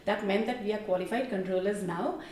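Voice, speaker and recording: A woman's voice: medium pitch, brisk, darkish tone. Middle-aged female interview guest, Indian accent, explains things conversationally, roomy echo, a little off the mic. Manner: explanatory, with frequent "you know" asides. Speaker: interview guest, former military air traffic controller. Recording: roomy echo, distant microphone.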